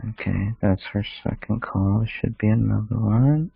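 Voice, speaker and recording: A man's voice: lowish voice, normal speed, vocal fry, slightly rough, no room sound. A man hosting a casual discussion, reading through records and thinking aloud in short, terse phrases. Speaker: podcast host. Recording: thin phone-like call audio.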